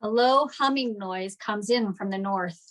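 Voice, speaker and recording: Medium-pitched woman reading stage directions. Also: measured pace, fairly bright tone. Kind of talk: scripted narration at a table read.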